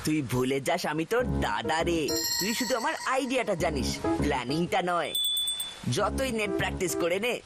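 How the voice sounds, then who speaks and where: Someone doing a smug big brother cartoon boy, teasing, bouncy delivery, loud music under it. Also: mid-range voice, very animated, brisk, lightly acted. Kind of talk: Bengali cartoon dubbing.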